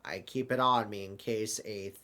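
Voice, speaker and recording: A man playing bewildered, apologetic travelers in an amateur audio drama, with a halting, stammering delivery. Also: on the low side, steady tone, sharp tone. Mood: nervous, polite. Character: bewildered, apologetic accidental travelers stranded in the void.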